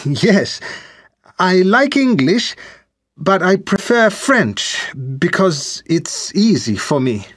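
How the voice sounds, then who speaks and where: Hesitant man from Switzerland, lively pitch. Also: no echo, studio quality. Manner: slow, hesitant answers. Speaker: exam candidate. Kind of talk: scripted exam answers.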